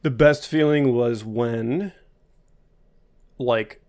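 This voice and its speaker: A man's voice: medium pitch, drawn-out words, darkish tone. A man co-hosting a podcast, reflecting candidly in casual chat and searching for his words. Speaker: podcast co-host.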